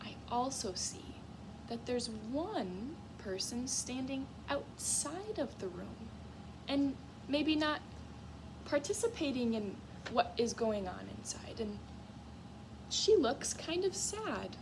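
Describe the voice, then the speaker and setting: A woman with a fairly high, very animated voice speaks as a teacher reading aloud to young children. She is encouraging and often stops to ask questions. The recording is from a classroom with clear background noise. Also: takes it slowly. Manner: expressive, encouraging, asks questions of young listeners. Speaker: music teacher. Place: school classroom, in a reading corner.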